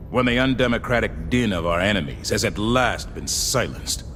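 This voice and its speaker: An actor playing a solemn, zealous military officer from a sci-fi game, making grandiose, stately pronouncements. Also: male voice, lowish voice, a bit bright. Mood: fervent resolve. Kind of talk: scripted dramatic game voicelines, oratory. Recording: long hall reverb, music behind. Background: music.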